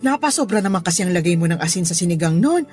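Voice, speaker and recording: Casting: actress for gentle, absent-minded mom in middle age, lowish voice, Tagalog radio drama. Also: female voice, animated, quick pace, close to an everyday voice. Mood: motherly, teasing. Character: forgetful middle-aged mother, gentle and apologetic.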